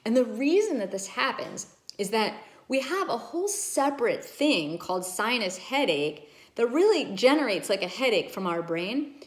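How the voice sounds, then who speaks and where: A woman's voice: a little high, sing-song, moderate pace. A middle-aged woman doctor explaining nose and sinus care to a phone camera at home, earnest and emphatic. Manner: earnest, emphatic, gestures with her hands. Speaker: ENT doctor. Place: home room with bookshelves, indoors. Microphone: phone camera at arm's length.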